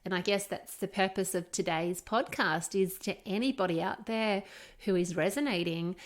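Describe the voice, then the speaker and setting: A woman's voice: medium pitch, normal speed. Candid Australian woman podcaster, middle-aged. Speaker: podcast co-host. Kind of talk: casual conversational podcast chat.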